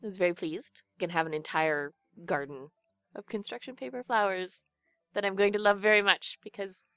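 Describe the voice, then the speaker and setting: Podcast co-host, female, chatty and quippy, bright voice, dry close mic. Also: medium pitch, clean tone, no echo, faint music behind. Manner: chatty, quick quips and laughter. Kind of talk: casual book discussion podcast.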